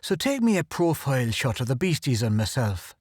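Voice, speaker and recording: A burly, swaggering Irish adventurer, as a male storyteller puts him on, who jokes in the face of danger. His Irish lilt stays bluff and teasing, with lively rises and falls. The sound is dry and close. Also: mid-range voice, a little fast, no room sound. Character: big burly brash Irish adventurer, man.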